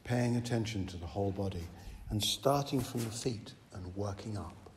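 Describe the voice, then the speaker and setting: Man, guided relaxation, deep voice, slow gentle delivery with pauses, echoing hall, faint background noise. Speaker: meditation teacher.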